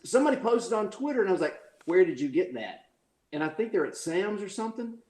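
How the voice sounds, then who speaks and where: A man's voice: high voice, big pitch swings, quick pace, a little mellow, echoey room. A man in his forties, a podcast guest, telling crude stories and laughing in casual chat. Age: 40s.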